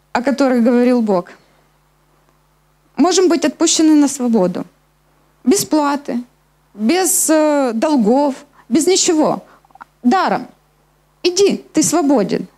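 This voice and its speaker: A woman with a high-pitched voice delivers a sermon from a church stage. She is middle-aged and explains scripture step by step, speaking directly to her listeners through a handheld microphone. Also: expressive, a little slow, pauses often, mellow. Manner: explanatory, addressing listeners with questions. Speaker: preacher. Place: church hall stage at a lectern, indoors.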